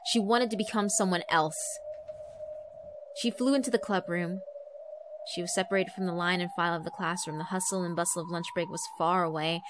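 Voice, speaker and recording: A woman's voice: lowish voice, background noise. A woman narrator reading a horror visual novel as dramatic scripted storytelling.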